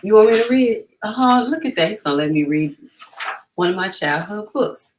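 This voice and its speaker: A woman's voice: medium pitch, a little fast, background noise. A woman hosting a storytime, reading aloud and chatting informally and encouragingly to families. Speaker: storytime host.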